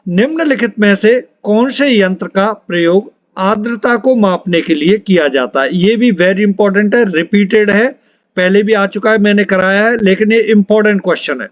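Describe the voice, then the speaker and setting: High-voiced man, coaching teacher, reading quiz questions, repeats for emphasis, dry slightly dull recording. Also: moderate pace, dry recording. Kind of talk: reading out multiple-choice quiz questions and answers to students.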